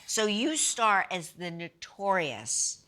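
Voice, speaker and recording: A woman co-hosting a morning talk show, asking short questions and chiming in with quick agreement. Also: medium pitch, sing-song, bright, forceful tone. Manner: short affirming responses, brief questions.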